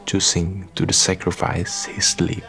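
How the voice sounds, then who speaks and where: Deep, slow, gravelly male narrator. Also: completely flat delivery, sharp tone. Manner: measured storytelling. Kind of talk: scripted radio drama narration. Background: faint music.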